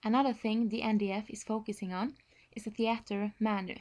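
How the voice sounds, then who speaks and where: A woman's voice: medium pitch, steady tone, brisk, darkish tone. Norwegian-accented woman giving a presentation. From Norway. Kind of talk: prepared informational presentation.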